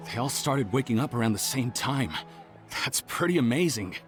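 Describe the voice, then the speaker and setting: An admiring townsman in a game played by a man speaks in a mid-range voice. Music is clearly heard behind him. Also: medium pitch, expressive, normal speed, close to an everyday voice. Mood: amazed. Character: impressed, admiring male townsman. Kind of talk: video game story acting.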